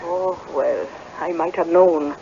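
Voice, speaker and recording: An actress in a radio drama playing a tenant farmer's long-suffering Scottish wife, sharp-tongued and exasperated. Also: female voice, fairly low pitch, takes it slowly, vocal fry. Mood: exasperated scolding. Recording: muffled old radio broadcast, faint noise.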